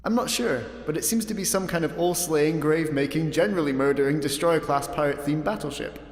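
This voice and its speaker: A man playing a pedantic, deadpan spaceship computer in a sci-fi comedy radio play. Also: a little high, fast, natural voice. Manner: deadpan, pedantic, formal wording. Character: pedantic, sardonic male spaceship computer, unbothered by danger. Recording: echoey, long reverb.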